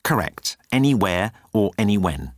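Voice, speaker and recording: A man's voice: fairly low pitch, big pitch swings, normal speed, no echo, studio quality. A man voicing a haughty, literal-minded electronic bird in an audiobook, with clipped, formal, pedantic delivery. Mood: flatly informative.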